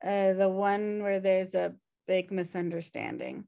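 A woman's voice: medium pitch, level tone, measured pace, gravelly, dark tone. A woman playing a sardonic, pushy slacker sister in her thirties, dry and teasing, at a comedy table read on a video call. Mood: dry, stoned. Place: home room on a video call.

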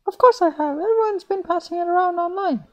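A man voicing a chatty young female art student in a thin falsetto, reading a visual novel aloud. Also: fairly quick, a little mellow. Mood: dismissive.

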